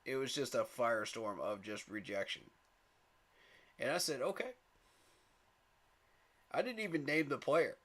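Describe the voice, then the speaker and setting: A man's voice: medium pitch, expressive, a little slow, pauses often, a bit bright. Man pleading on a fan stream. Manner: pleading, emphatic, chuckles at rivals. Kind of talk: live stream commentary.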